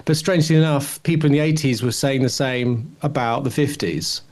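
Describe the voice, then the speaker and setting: A man's voice: medium pitch, brisk, darkish tone. A middle-aged man with a British accent co-hosting a podcast, chatting casually in a rambling, stop-start way. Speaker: podcast co-host. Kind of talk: casual chat about television.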